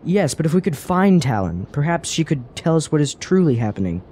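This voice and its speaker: An actor playing a thoughtful, questioning adventurer in a fantasy radio drama, earnest and persuasive, with formal phrasing. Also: male voice, a little high, very animated, a little fast, dark tone, a little hiss or hum.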